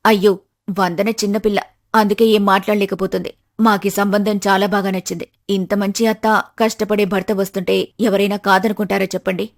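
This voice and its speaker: A woman puts on a bride's middle-aged parent in a storybook family drama. The pitch sits low with some gravel in it, and the manner is polite, agreeable and eager. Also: level tone, a little fast, lightly acted. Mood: eager, pleased.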